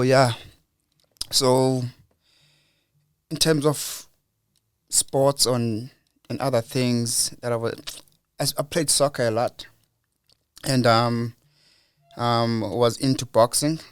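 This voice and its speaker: A man's voice: medium pitch, smooth, no room sound, clean studio sound. A young South African man as a podcast guest, talking earnestly and reflectively, repeating words as he goes. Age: young adult. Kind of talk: casual interview talk.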